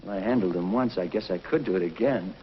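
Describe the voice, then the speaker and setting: Actor as a calm confident space pilot, plain matter-of-fact delivery, roomy muffled old TV sound. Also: male voice, low voice, fast talker, slight background noise, natural voice. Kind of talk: 1950s TV drama acting. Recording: dull roomy 1950s TV soundtrack.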